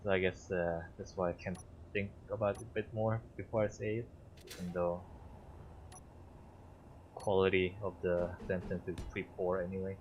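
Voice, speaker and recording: A man whose first language is Korean, streaming a game and thinking aloud in a halting way with many fillers. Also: deep voice, level tone, lo-fi sound, slight background noise. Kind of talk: casual gameplay commentary.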